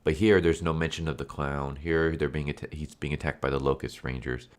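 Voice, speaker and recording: Very deep-voiced congested man. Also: fairly even intonation, a little fast. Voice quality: nasal, stuffy. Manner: rambling, full of fillers and restarts. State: congested from illness. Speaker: comic book reviewer. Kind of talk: casual comic review.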